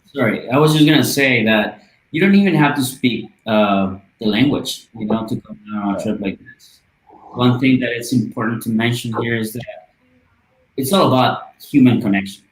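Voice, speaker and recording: A man's voice: medium pitch, normal speed, slight background noise. A man from Guatemala speaking as a podcast guest, earnest and passionate, with frequent "uh"s. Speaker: guest from a volunteer charity. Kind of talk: casual podcast interview. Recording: roomy, echoey sound.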